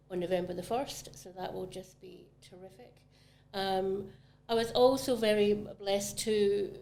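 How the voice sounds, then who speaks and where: A woman's voice: medium pitch, creaky, a little mellow, a little hiss or hum. A woman from Scotland with a Scottish accent, talking about retail property in a casual podcast conversation, enthusiastic and full of ums. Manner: chatty, enthusiastic, many ums. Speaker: commercial property manager.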